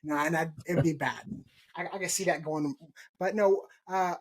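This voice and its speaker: A man's voice: fairly high pitch, steady tone, moderate pace, breathy edge. A man in his thirties from Texas hosting a casual gardening podcast over a video call, laughing often and repeating himself. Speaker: podcast host. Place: home room, indoors. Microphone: video call mic.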